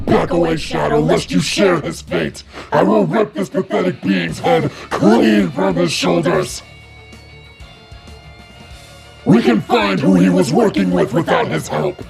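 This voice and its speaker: A woman performs a vengeful, protective demigod in an audio drama, speaking in grand, formal, menacing lines. Her delivery is very gravelly and slow, with music loud behind her. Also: lowish voice. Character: fierce, protective, vengeful demigod wolf heroine.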